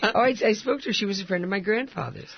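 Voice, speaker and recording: An older actress with a husky voice, chatting and telling stories in an interview with frequent laughs. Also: female voice, medium pitch, fast talker, lo-fi sound. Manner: chatty, laughs often, warm storytelling. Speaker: actress guest. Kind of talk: casual interview chat.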